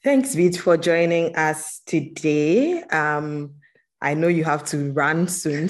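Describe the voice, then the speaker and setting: A woman co-hosting a podcast, opening the show and leading the questions in a measured way. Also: deep voice. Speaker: podcast co-host.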